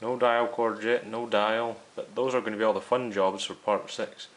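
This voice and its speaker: A man's voice: on the low side, flat delivery, moderate pace. A man hosting a hands-on repair video, talking casually and explaining each step directly to his viewers. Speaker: host of a radio restoration series.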